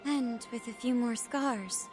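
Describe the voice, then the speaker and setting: An actress playing a gentle, refined young princess in a video game, with a graceful manner. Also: female voice, on the high side, slow, loud music behind the voice. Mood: tender, relieved. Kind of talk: video game cutscene acting.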